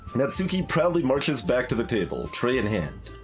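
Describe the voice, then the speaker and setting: A man is playing a story game on stream and reading it out. His mid-pitched delivery moves around a lot, slipping between narration and casual remarks, with music playing behind him. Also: very animated, normal speed. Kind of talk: reading visual novel dialogue aloud with casual commentary.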